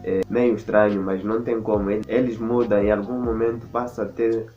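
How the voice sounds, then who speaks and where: A man's voice: on the low side, level tone, fairly quick, warm tone, muffled recording, faint music behind. A Mozambican man speaking Portuguese with a Mozambican accent, explaining things in a casual vlog and often repeating words while he searches for the next one. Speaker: vlogger.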